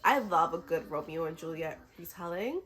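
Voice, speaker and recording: A woman's voice: low-pitched, animated, normal speed, fairly bright tone. Chatty woman, casual book vlogger, slightly sick. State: a little sick. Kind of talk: casual chat to camera.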